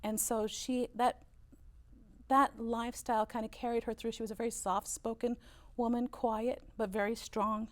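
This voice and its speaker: A woman's voice: fairly high pitch, flat delivery, normal speed. A woman in her fifties whose first language is German, telling family stories as an interview guest with lots of "uh" fillers. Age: 50s. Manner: storytelling, warm recollection with frequent "uh" fillers. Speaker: interview guest, business owner. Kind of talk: relaxed interview conversation.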